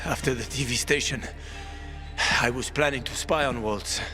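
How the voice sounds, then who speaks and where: A man's voice: mid-range voice, normal speed, close to an everyday voice. An actor playing a cynical, bitter man cornered in a zombie apocalypse, curt and sardonic, in a video game cutscene. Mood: agitated, defensive. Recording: music under the voice.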